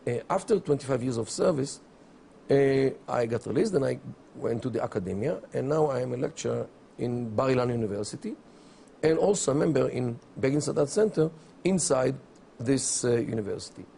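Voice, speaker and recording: Middle-aged Israeli man, English, gravelly, slow and measured, faint hiss behind. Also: mid-range voice, animated, mellow. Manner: deliberate, with many pauses and fillers. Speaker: interview guest, academic. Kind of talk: measured explanatory interview answers.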